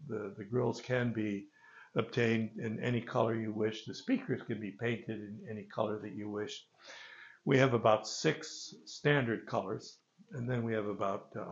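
An older man giving an informal product presentation in a gravelly voice, with halting, hesitant phrasing, recorded on a phone a few meters away. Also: medium pitch, lo-fi sound. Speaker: loudspeaker company presenter. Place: carpeted listening room with stone wall, indoors. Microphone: phone camera a few meters away.

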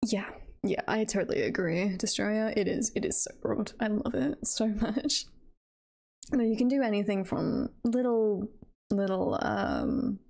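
A woman's voice: medium pitch, a little slow, gravelly, a little mellow. A woman chatting casually on a live stream, playful and giggly, rambling and trailing off between thoughts. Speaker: streamer and voice roleplayer chatting with viewers.

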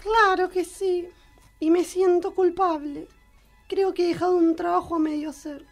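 A woman's voice: very high voice, steady tone, normal speed, soft background music. A woman voicing a hungover, sardonic good fairy with an Argentine accent in a comic radio play. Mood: sheepish.

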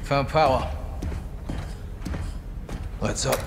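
An actor playing an alert, tough special forces sergeant in a video game, clipped and blunt. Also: male voice, mid-range voice, fairly even intonation, very slow, very noisy. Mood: tense, blunt. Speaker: army sergeant. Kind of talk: video game cinematic acting. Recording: echoing cavernous reverb, loud music.

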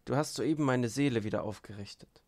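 A man voicing a gentle, devout young man in an audiobook, earnest, solemn and heartfelt. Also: mid-range voice, brisk, close to an everyday voice. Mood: solemn, moved.

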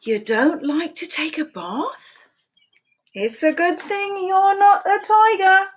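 A woman's voice: very high-pitched, takes it slowly. A woman in her sixties reading a picture book aloud to young children in a sing-song storytelling voice, asking them questions, recorded on a webcam at home. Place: small room at home, indoors. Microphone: webcam at desk.

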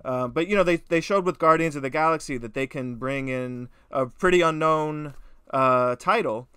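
A man's voice: fairly high pitch, moderate pace, a bit bright, clear, resonant tone, no echo. A man co-hosting a podcast in casual discussion, loose and conversational, repeating words for emphasis. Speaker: podcast co-host.

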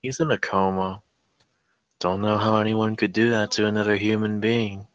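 Man reading as a weary mother, low-voiced. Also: steady tone, moderate pace, clean tone, no echo, highs cut off, lightly acted. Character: weary working mother, concerned and principled. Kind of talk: table read of a TV drama script.

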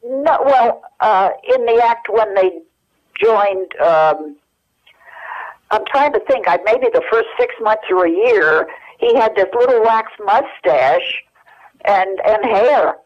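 Older American woman, mid-pitched, halting anecdotal interview guest, dull telephone audio. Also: lively intonation. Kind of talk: casual reminiscing interview.

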